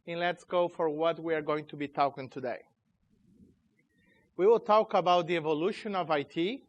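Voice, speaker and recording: Chilean-born guy, slightly high voice, loose halting english at a tech talk. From Chile, raised in Brazil. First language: Portuguese. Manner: informal, halting, loose grammar. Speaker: conference speaker, company CEO. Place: conference room.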